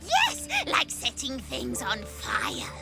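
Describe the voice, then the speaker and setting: Tiny bloodthirsty critter, extremely high voice, very slow broken phrases, greedy, childish, game creature, very loud music. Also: female voice, huge pitch swings, gravelly, exaggerated voice. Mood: gleeful menace. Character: small, feral, hungry, bloodthirsty childish creature. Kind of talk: comic video game creature acting.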